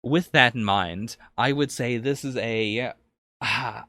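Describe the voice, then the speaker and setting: A man's voice: medium pitch, takes it slowly, bright, forceful tone, no echo. Man chatting casually, dry close recording. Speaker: podcast co-host. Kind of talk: casual review discussion.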